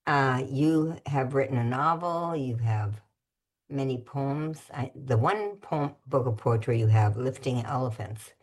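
A woman hosting a community radio interview, relaxed and conversational, sometimes searching for words. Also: very deep voice, husky. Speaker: radio host.

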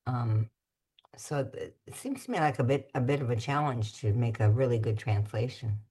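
A very deep, husky-voiced woman hosts a community radio show. She talks in a relaxed, conversational way and sometimes pauses to find her words. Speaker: radio host.

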